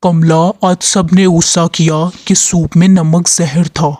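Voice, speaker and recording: A man's voice: a little high, little pitch movement, measured pace, darkish tone, dry recording, lightly acted. A man playing a humble, earnest village soup seller in a moral story. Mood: upset. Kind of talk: moral story character dialogue.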